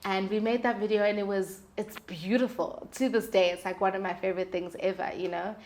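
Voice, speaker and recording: A woman's voice: medium pitch, a little fast. Young woman telling her story. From South Africa. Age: young adult. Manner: candid, expressive, slangy. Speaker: guest, singer-songwriter. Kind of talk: casual interview storytelling.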